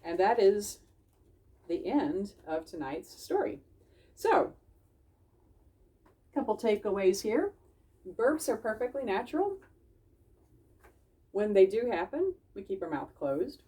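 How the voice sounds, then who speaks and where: A woman at a children's storytime, sounding a little distant from the microphone. Her pitch moves around in a lively way and she takes her time. She explains things simply and checks in with questions. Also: takes it slowly, long pauses, husky. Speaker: library storytime reader. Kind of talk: animated children's storybook read-aloud with asides.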